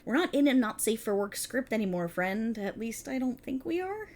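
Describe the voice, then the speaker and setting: A woman's voice: on the high side, very animated, normal speed, a little mellow. A woman playing a prickly, exasperated police officer in a scripted ASMR roleplay, talking in a wry, bossy, explanatory way to an unheard partner. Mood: irritated, relieved. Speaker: voice actor in audio roleplay. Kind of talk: scripted ASMR roleplay, one-sided dialogue.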